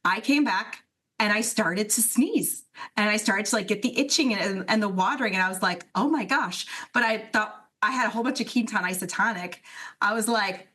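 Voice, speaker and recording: A woman's voice: mid-range voice, fairly quick. Chatty woman co-host in her forties. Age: 40s. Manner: animated storyteller, many restarts. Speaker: podcast co-host. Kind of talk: casual wellness chat.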